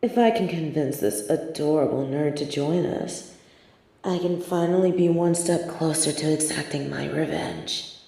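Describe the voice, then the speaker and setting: A sardonic female supervillain, acted by a woman in an intimate audio roleplay. She speaks slowly in a soft, dark, slightly low voice, weighing words with theatrical poise. Her scheming, wry manner carries a grudging streak of sympathy. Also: creaky, breathy edge, heavily acted. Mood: scheming, gloating. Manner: theatrical, measured, wry, drops into muttered asides.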